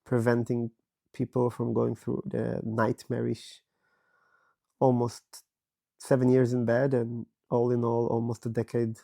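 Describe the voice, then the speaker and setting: A man in his thirties from Israel, hoarse and raspy from a virus, reflecting slowly and candidly from his bed as a podcast host. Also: mid-range voice, completely flat delivery, warm tone. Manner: slow, thoughtful, candid, with many pauses. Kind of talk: personal reflective monologue. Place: bedroom, recording from bed.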